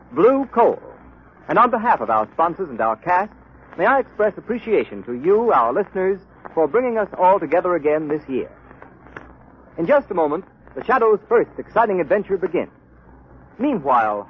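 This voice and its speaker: A male radio announcer in the 1930s manner, formal and emphatic, with very lively intonation. The old recording is dull, with faint noise. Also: high voice.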